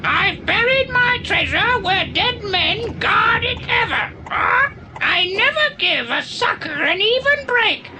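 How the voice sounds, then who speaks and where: A woman's voice: very high voice, very animated, slow, heavy background noise, full character voice. A woman voicing a talking bird that recites boastful pirate phrases in a theatrical squawk for an audio drama. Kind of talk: British audio drama acting.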